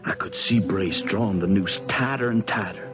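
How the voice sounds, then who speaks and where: An actor playing a steady, protective country-bred lawyer who also tells the story in a folksy way, in a 1970s radio drama. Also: male voice, lowish voice, moderate pace, over music, close to an everyday voice. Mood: narrating. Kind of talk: radio drama dialogue and first-person storytelling.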